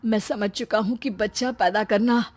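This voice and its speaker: A woman's voice: mid-range voice, steady tone, normal speed, a little mellow, natural voice. A woman voicing an anxious, whiny pregnant young husband in a comic story, complaining dramatically. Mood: apologetic, earnest. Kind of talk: acted dialogue in a Hindi comic story.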